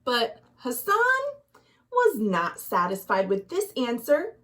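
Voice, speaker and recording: High-voiced woman telling stories expressively. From United States. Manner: expressive, dramatic, deliberate delivery with hushed phrases. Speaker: children's librarian, storytime host. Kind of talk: animated picture-book storytelling for children.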